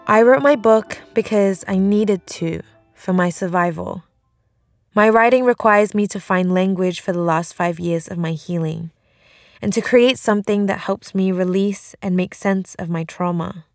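A woman with a mid-pitched voice speaks as an author, reflective and personal, as if presenting her own memoir. The recording is dry, with no room sound. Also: unhurried, dry recording, faint music behind.

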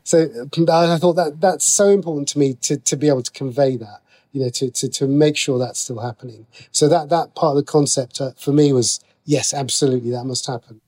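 Halting, explanatory man in an interview. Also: mid-range voice, steady tone, dark tone. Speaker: interview guest, TV and radio writer. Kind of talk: conversational interview, explaining creative work.

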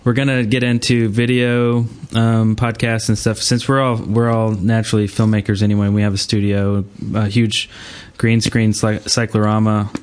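A man hosting a podcast in casual chat, talking in a halting, rambling way. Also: fairly low pitch, little pitch movement, clean tone. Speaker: podcast host. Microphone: online voice call.

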